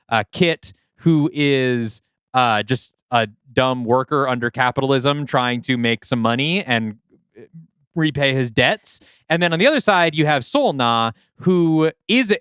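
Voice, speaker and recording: A man's voice: medium pitch, fairly bright tone, smooth, no room sound, clean studio sound. A man co-hosting a casual podcast, chatty and analytical, full of "like" and fillers. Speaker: podcast co-host.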